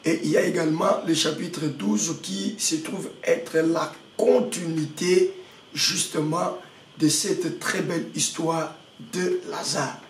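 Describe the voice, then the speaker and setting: A male pastor with a head cold gives a sermon, halting often and repeating words, and puts rhetorical questions to his listeners. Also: on the high side, steady tone. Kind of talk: prayer-meeting sermon. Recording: echoey room, mic at a distance, faint background noise.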